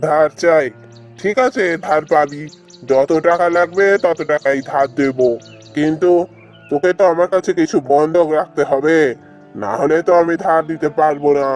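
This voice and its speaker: A man's voice: fairly high pitch, fairly even intonation, normal speed, a little mellow, natural voice. Man playing a commanding village landlord, music underneath. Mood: stern, bargaining. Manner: commanding, addresses villagers as inferiors. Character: stern, shrewd village landlord lending money on collateral. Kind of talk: Bengali fairy-tale cartoon voice acting.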